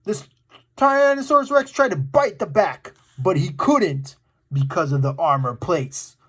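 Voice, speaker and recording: Animated, slow-paced man reading to kids. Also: high voice, slightly rough. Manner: enthusiastic, playful, exclaiming, dramatic. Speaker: children's story time host reading aloud. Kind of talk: animated read-aloud with casual asides to young viewers.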